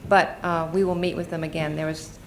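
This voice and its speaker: A woman's voice: low voice, flat delivery, fairly quick. A middle-aged American woman giving earnest, explanatory testimony as a witness at a formal hearing. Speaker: advocacy group witness and mother. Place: Senate committee hearing room. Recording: noisy archival hearing-room recording.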